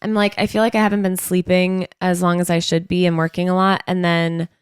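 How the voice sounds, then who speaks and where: A woman's voice: on the low side, little pitch movement, fairly quick, clean tone, no echo, studio quality. A woman co-hosting a podcast with a stuffy, congested nose, telling stories in a chatty, rambling way full of fillers. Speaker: podcast co-host. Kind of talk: casual chat. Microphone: studio microphone.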